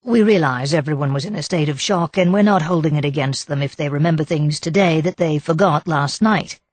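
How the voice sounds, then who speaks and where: A woman voicing a calm, methodical male chief inspector who questions in a measured, probing way. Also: sits low, no room sound.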